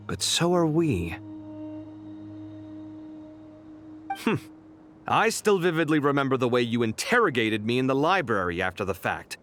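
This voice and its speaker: Man acting an expressive, dramatic young male scholar. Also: medium pitch, natural voice. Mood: probing, wry. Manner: expressive, emphatic, theatrical. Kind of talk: video game voice acting.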